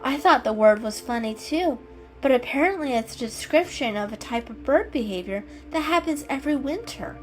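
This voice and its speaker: Woman as friendly female house cat, storybook character, high voice, animated, inquisitive, bookish. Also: moderate pace, soft background music. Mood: eager. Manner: eager, warm, inquisitive.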